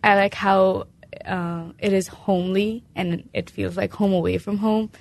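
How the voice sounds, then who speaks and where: Young woman with a flat, creaky voice. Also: medium pitch, measured pace. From Nepal. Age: young adult. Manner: casual with "like" fillers. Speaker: student club treasurer.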